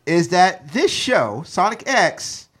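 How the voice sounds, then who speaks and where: A man's voice: high voice, sing-song, slow, fairly bright tone, husky. A man co-hosting a casual podcast chat. He talks with enthusiasm and fills his sentences with "like" and "you know.". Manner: enthusiastic, filler-heavy, says "like" a lot.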